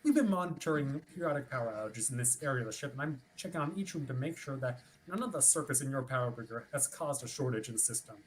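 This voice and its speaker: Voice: medium pitch, lively intonation, fast, hardly any pauses, natural voice, low-quality recording. An actor playing an officious, politely pushy ship's watch officer in a formal, wordy script reading. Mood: politely insistent. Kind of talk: theatrical script reading over a livestream. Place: remote online stream.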